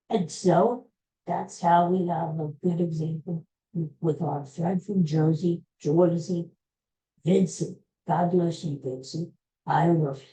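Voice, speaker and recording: A woman talking slowly through a solo podcast, her voice mellow and a little low. She rambles with folksy advice, restarting her sentences and speaking straight to her listeners. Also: poor audio. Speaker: podcast host. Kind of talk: casual solo podcast monologue.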